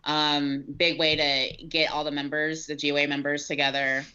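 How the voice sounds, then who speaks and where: A woman's voice: deep voice, flat delivery, normal speed, bright, forceful tone, smooth, dull audio. A woman chatting casually as a guest on a podcast. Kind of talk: casual chat.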